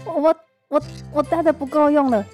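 A woman's voice: high voice, fairly even intonation, darkish tone, soft background music, close to an everyday voice. A woman with a Taiwanese accent playing an embarrassed, pleading young female guest, hesitant and cutesy, in a comedic audio drama. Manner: hesitant, halting.

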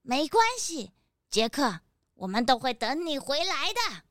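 A woman's voice: sits high, sing-song, a little slow, no echo, studio quality. A woman voicing a motherly, fussy hen granny in a children's audio drama, dramatic and doting in manner. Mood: reassuring. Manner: dramatic, doting, with clucking exclamations.

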